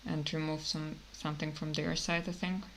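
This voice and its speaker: A woman from Kazakhstan streaming a card game, thinking aloud in a hesitant, musing way with many pauses and fillers. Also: deep voice, flat delivery, a bit bright, slight background noise.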